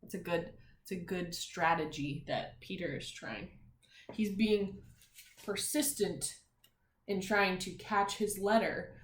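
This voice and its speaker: A woman teacher reading a picture book to young children, expressive and emphatic on the text, with softer, encouraging conversational asides and questions. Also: on the low side, takes it slowly, a little hiss or hum. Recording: echoey room sound.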